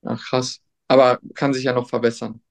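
A young man, a substitute teacher, answering interview questions in a hesitant, thoughtful way with many pauses and restarts. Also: mid-range voice, level tone, creaky.

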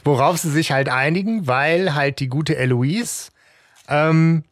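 A man co-hosting a fan podcast, joking and laughing as he talks about a radio play, with drawn-out filler pauses. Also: fairly high pitch, no room sound, studio quality. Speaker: podcast co-host. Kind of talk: casual discussion of a radio play.

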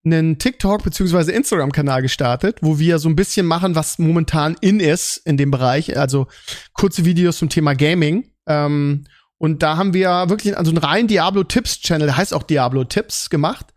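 A man's voice: a little high, brisk. A man with a German accent chatting casually as a podcast host about his things, rambling a little and repeating phrases. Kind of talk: casual gaming podcast chat.

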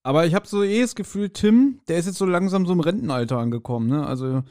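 A man co-hosting a podcast, giving casual, joking and mildly mocking commentary full of halting asides. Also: high voice, a little mellow. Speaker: podcast co-host. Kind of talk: casual chat, humorous commentary on an audio drama.

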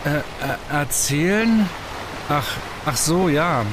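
Man acting awkward young mentalist, flustered stammer, higher voice, audio drama, clear ambient noise. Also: lively intonation, takes it slowly. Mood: nervous. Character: shy, awkward young stage mentalist, nervous and earnest. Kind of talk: science-fiction radio play dialogue.

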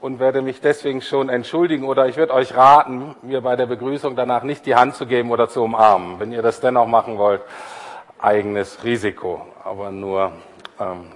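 A man preaching, his voice a little hoarse and husky from a cold. He talks informally and pokes fun at himself, with many pauses and fillers, at a middle pitch. The sound carries a long reverb from a church hall. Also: fairly even intonation. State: has a cold, voice a bit husky. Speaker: pastor. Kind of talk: prayer and sermon.

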